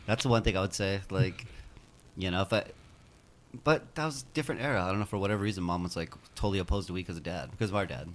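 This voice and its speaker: A man's voice: sits low, racing. A man in his fifties chatting casually on a podcast, rambling and swearing freely as he tells stories. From United States. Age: 50s. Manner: loose, rambling, profane storytelling. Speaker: podcast guest. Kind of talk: casual chat.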